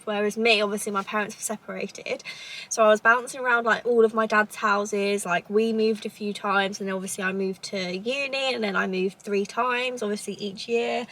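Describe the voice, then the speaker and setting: A woman's voice: mid-range voice, sharp tone, a little hiss or hum, low-quality recording. Chatty young woman with a southern English accent. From Cambridgeshire, England. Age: 20s. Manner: chatty, rambling, lots of "like" and "obviously". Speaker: vlogger. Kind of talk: casual chat to camera. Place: at home. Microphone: camera in a holder.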